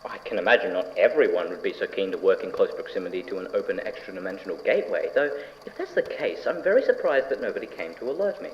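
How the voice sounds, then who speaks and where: A man's voice: low-pitched, expressive, quick pace. A man playing an eccentric, obsessive scientist in an audio drama, musing aloud to himself with blithe cheer. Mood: eager, puzzled. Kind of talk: audio drama lab log monologue. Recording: dull, distorted tape with clear background noise.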